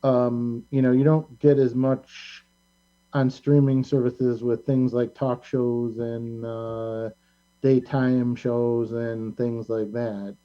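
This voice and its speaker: A man with an average-pitched voice hosts a casual chat about pop culture. He is opinionated and rambles, often halting as he goes. The recording is dull and band-limited. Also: level tone. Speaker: talk show host.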